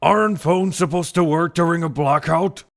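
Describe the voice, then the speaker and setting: Man acting a formal judge, slightly high voice. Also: normal speed, gravelly, fairly bright tone. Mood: measured. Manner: formal, questioning. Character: male courtroom judge, inquisitive, measured. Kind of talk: video game fan dub courtroom drama. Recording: music under the voice.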